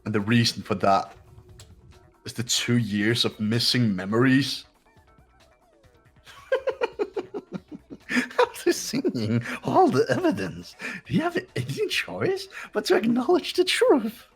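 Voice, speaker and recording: Slow smug sinister villain, husky, pitch goes all over, game dub. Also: female voice, huge pitch swings, soft background music, exaggerated voice. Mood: smug menace. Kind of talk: fan dub of a visual novel game.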